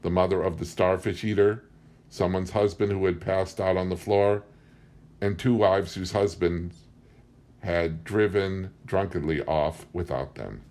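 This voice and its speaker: Man, very deep voice, audiobook reader, scripted narration. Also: steady tone, measured pace, a little mellow.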